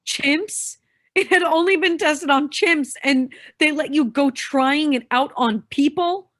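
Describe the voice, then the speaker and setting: A woman's voice: sits high, slow, fairly bright tone, no echo, clean studio sound. A woman playing a blunt, exasperated young vigilante who knows her tech, in a staged play reading. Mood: incredulous. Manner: blunt, insistent.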